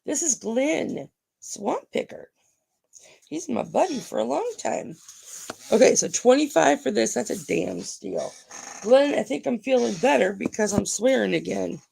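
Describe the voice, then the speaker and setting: Gravelly groggy-voiced woman auction host. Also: fairly high pitch, expressive, measured pace, dark tone, rough recording. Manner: chatty, calls viewers honey. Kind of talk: live-stream sales chat.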